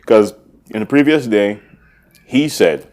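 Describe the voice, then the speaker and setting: A man in his forties from the West Indies, co-hosting a casual podcast chat, animated and quick to laugh. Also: on the low side. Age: 40s. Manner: animated, laughs often, restarts sentences. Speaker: podcast co-host.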